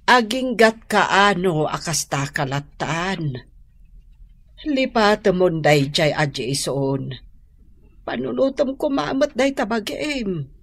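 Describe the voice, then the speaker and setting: A woman's voice: mid-range voice, very animated, takes it slowly, fairly bright tone, no room sound, exaggerated voice. A woman with an Ilocano accent playing a worried, exasperated middle-aged mother who pleads and admonishes in a radio drama. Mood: pleading, scolding. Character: worried, exasperated mother scolding her heartbroken grown daughter.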